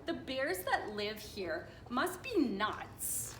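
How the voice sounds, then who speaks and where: Woman doing headstrong little girl, storybook, chocolate lover, emphatic, lively pitch, roomy sound. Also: drawn-out words, slight background noise, heavily acted. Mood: exhausted, exasperated.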